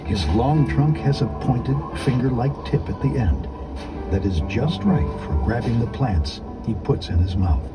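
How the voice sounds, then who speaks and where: A man narrating a children's storybook in an expressive, deliberate, scripted storytelling delivery. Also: medium pitch, loud music behind the voice, low-quality recording. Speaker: audiobook narrator.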